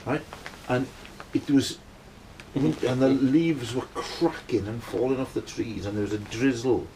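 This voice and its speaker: A man's voice: medium pitch, a little slow, mellow, a little hiss or hum, low-quality recording. A middle-aged Welsh man telling a long personal story in a casual, rambling way, checking in with his listeners as he goes. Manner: rambling, keeps checking in with "right?". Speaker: band member telling a story.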